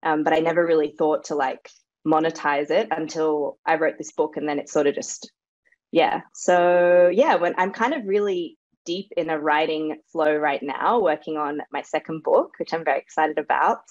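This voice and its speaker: Woman guest from Australia, Serbo-Croatian first language, lowish voice, chatty, over a dull video call. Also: normal speed, dry recording. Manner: chatty, laughs easily, lots of fillers. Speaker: guest author. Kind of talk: casual interview chat.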